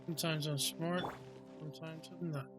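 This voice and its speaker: A man's voice: a little high, level tone, normal speed. A man narrating his own video game playthrough in casual commentary, thinking aloud in a laid-back way. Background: game music.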